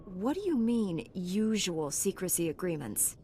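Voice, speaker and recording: An actress playing an earnest, plucky and dry-witted young heroine in a sci-fi video game. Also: female voice, fairly low pitch, very animated, unhurried, mellow, slight background noise. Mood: concerned. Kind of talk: acted video game dialogue.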